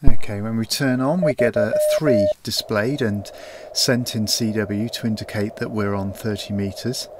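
A man's voice: on the low side, fairly even intonation, normal speed, very noisy. A man reviewing a small radio at a tabletop, explaining each step methodically with frequent filler sounds. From United Kingdom. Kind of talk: hands-on product demonstration. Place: indoors at a tabletop.

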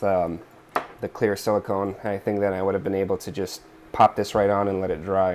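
Man in his 30s, lowish voice, relaxed DIY tutorial, thinking aloud, faint hiss in a home room. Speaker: DIY video host. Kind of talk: casual step-by-step tutorial. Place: indoor living room, seated at a workbench board.

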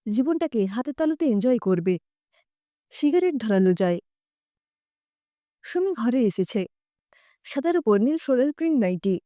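A woman storyteller reads a scripted tale expressively. Her voice is high and mellow, with very lively intonation. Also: no room sound, studio quality.

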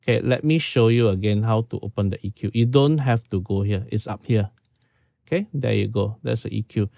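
A man's voice: on the low side, fairly quick, vocal fry, warm tone, no room sound, clean studio sound. A man in his fifties from Singapore, walking through audio settings in a casual tutorial, peppered with "okay". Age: 50s. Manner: informal, step-by-step, frequent "okay"s. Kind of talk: casual screen-share tutorial. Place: small room at home.

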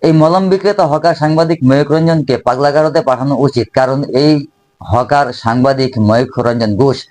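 Medium-pitched Bangladeshi man giving commentary. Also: fairly quick, darkish tone. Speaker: video host. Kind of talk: opinionated commentary.

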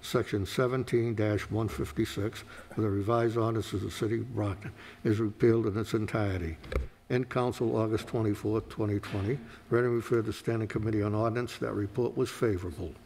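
A man reading council agenda items aloud in a steady, formal way, in a council chamber. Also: fairly low pitch, brisk. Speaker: city clerk.

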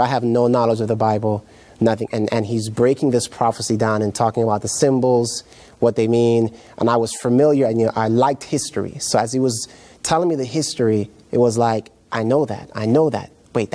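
A middle-aged man with a relaxed, dark-toned voice tells his story as a guest in a studio interview. He is reflective and halting, feeling his way through each phrase, at a medium male pitch. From Jamaica, raised in New York. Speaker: guest pastor. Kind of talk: personal testimony in a TV interview. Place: indoor TV studio set, seated.

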